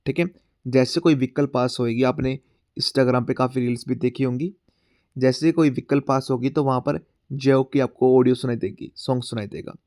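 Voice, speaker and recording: A male current affairs teacher with a sore throat, going through exam quiz questions. He mixes Hindi and English, often says "theek hai", and reads the English question text slowly. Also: medium pitch, fairly even intonation, darkish tone, dry recording, studio quality. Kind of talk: exam-prep class, explaining quiz questions.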